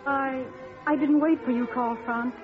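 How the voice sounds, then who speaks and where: An actress playing a humble young waitress in love, earnest and hesitant, in 1950s radio melodrama style. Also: female voice, high-pitched, fairly even intonation, a little slow. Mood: resigned sadness. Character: young waitress sweetheart, tender, humble, self-sacrificing. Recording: tinny old radio broadcast, music behind.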